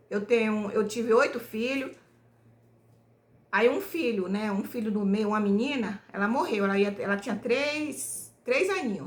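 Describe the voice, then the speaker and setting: A woman's voice: fairly high pitch, fairly bright tone. A middle-aged woman from Bahia, telling her life story to her viewers in a homespun, rambling way, tagging nearly every phrase with "né?". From Bahia, Brazil. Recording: echoey room.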